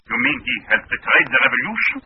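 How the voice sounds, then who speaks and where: High-strung, husky anxious professor. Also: male voice, very animated, fast, exaggerated voice. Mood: anxious, shocked. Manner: agitated, questioning. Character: startled, anxious male professor and secret informant. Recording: tinny phone-like old radio recording.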